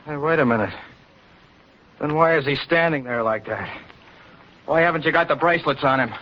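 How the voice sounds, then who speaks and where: A man's voice: a little high, moderate pace, slight background noise, natural voice. An actor playing a desperate, agitated captive man, urgent and pleading, in 1940s radio style. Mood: wary, pleading. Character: desperate, agitated male captive, ordinary young guy. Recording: dull, lo-fi 1940s radio recording.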